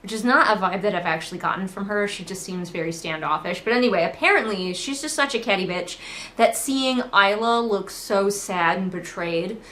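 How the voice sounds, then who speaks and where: A woman's voice: mid-range voice, normal speed, pushed and bright, echoey room. A woman giving casual, comedic book commentary as a host, in a sarcastic, sweary, conversational manner. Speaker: book critic and host of a readalong.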